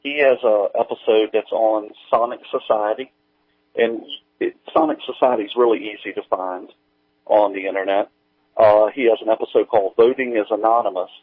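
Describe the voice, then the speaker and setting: Lowish male voice on a phone-like line. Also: steady tone, normal speed, no room sound. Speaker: guest, audio drama creator. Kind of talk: casual interview chat. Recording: tinny, phone-like band-limited line.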